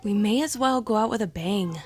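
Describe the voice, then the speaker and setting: A woman playing an excited, adventurous teenage girl in a horror story, dramatic and coaxing. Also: mid-range voice, fairly quick, slight background noise, lightly acted.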